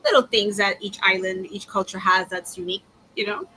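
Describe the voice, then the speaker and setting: Bright-voiced woman, easygoing chat. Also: mid-range voice, a little fast, a little hiss or hum, low-quality recording. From Dominican family, grew up in the US. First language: Spanish. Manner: easygoing, laughs between remarks. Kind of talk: casual chat.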